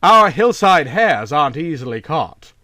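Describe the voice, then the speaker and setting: A man's voice: fairly high pitch, sing-song, unhurried, sharp tone, no room sound, clean studio sound, full character voice. A man voicing a stuffy country landowner in a storybook, demanding, indignant and authoritative. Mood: irritated.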